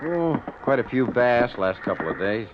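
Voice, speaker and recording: An actor playing an old, easygoing American bait shack keeper in a radio drama, folksy and laconic. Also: male voice, mid-range voice, expressive, normal speed, lightly acted. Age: older. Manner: folksy, laconic, clipped phrases. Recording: dull old radio recording, waterside noise.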